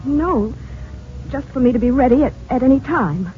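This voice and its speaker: An actress playing an anxious, insistent wife in an old-time radio drama, speaking hesitantly and emotionally. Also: female voice, high-pitched, lively intonation, normal speed. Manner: hesitant, breathless repetitions, emotional. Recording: tinny, narrow old radio recording, faint hiss.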